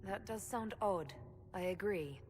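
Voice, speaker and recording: A woman voicing a frank, pragmatic elven heroine in a video game, calm and plain-spoken. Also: medium pitch, unhurried, a little hiss or hum, natural voice. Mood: level, questioning. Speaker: player's heroine.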